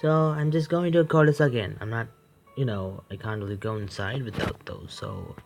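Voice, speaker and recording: A man hosting a game playthrough, commenting casually and reading aloud in a halting way with stumbles. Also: mid-range voice, creaky, over music. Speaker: gaming video host.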